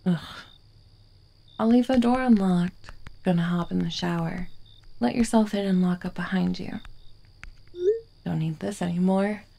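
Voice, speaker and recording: A woman playing an obsessive, vengeful murderous writer in an ASMR roleplay, sardonic and menacing, slipping into breathy whispers. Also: on the low side, unhurried, long pauses, mellow. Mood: impatient, contemptuous. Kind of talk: ASMR roleplay, dramatic monologue.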